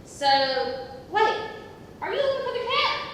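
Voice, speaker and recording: A woman acting in an amateur stage comedy as a giggly character who tells rambling jokes in a halting way. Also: extremely high pitch, animated, a bit bright, full character voice. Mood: earnest, halting. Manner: halting, slow, rambling explanations. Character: giggly woman slowly telling a rambling joke. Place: theatre stage, picked up from afar. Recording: distant, echoey theatre hall, faint noise.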